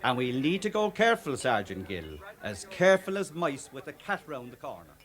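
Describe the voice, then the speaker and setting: An actor with an Irish accent playing a genial, talkative, canny police superintendent in a radio drama. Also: male voice, mid-range voice, sing-song, a little fast, fairly bright tone. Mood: cautioning. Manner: folksy, cajoling, garrulous.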